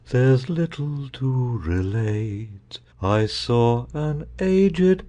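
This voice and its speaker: An actor playing a dreamy, gentle knight who recites a nonsense ballad theatrically over music. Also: male voice, medium pitch. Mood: dreamy, measured. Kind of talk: slow theatrical verse recital.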